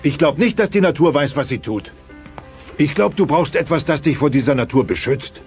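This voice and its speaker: Blustering, creaky dinosaur dad. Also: male voice, a little high, lively intonation, moderate pace, darkish tone. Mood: protective, gentle. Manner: pompous, theatrical, blustering. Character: mighty blustering dinosaur father, indignant yet sentimental. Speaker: voice actor. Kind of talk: German dubbed family sitcom audio play. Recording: dull cassette transfer with music.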